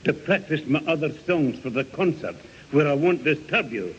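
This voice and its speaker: A blustering, short-tempered old Northern English grandad played by a man. His voice is fairly high for a man and leaps about as he grumbles. It is radio sitcom acting on a dull old recording. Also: animated, normal speed, natural voice. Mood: brisk. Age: older. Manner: blustering, grumpy. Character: irascible old grandad, quick to threaten. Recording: dull, faintly noisy vintage radio recording.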